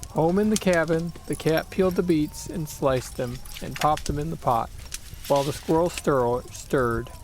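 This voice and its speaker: A man narrating a children's picture book aloud in a deliberate, expressive storytelling manner. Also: on the high side, a little hiss or hum.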